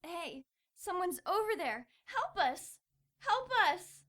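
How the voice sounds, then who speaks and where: Young captive churchgoer female actor, high pitch, fearful and pleading, horror audio drama. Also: sing-song, takes it slowly, a bit bright, full character voice. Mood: desperate. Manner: scared, hesitant, pleading.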